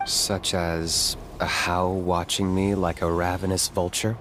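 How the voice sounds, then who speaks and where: An actor voicing a calm, pragmatic young warrior in a video game, with measured, dry delivery. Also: male voice, sits low, slight background noise. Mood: wry. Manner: composed, deadpan, measured. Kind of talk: video game character acting.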